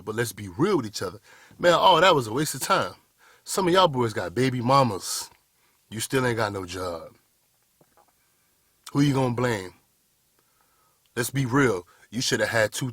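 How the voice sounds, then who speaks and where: A man's voice: mid-range voice, a bit bright, breathy edge, no echo, studio quality. A man, giving a blunt, preachy motivational talk straight to the camera. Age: 30s. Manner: blunt, preachy, addresses viewers as "y'all boys". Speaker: motivational speaker. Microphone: camera mic, speaking to camera.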